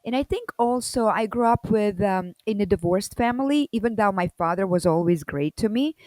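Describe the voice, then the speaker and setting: Loud, energetic woman. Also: mid-range voice, moderate pace, no room sound. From Soviet Union. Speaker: guest, energy healer. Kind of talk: personal storytelling in interview.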